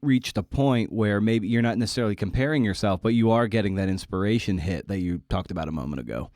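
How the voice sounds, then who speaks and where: A man hosting a podcast interview in a casual, conversational style, with a halting, candid way of talking. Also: fairly low pitch, lively intonation, darkish tone, dry recording, studio quality.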